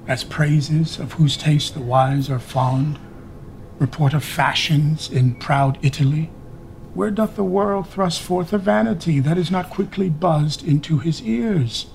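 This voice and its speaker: A man playing a world-weary old duke with a gravelly voice, bitterly cautioning in verse in a Shakespearean radio drama. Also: fairly high pitch, darkish tone, slight background noise, poor audio.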